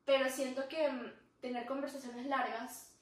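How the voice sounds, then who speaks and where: High-voiced woman podcast co-host, rambling and easygoing, recorded off-mic in a roomy space. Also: fairly even intonation, moderate pace, far from the mic. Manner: laughs easily, talks in long rambling runs with restarts. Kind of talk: casual chat. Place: room where the podcast is filmed. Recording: very echoey room, mic set back.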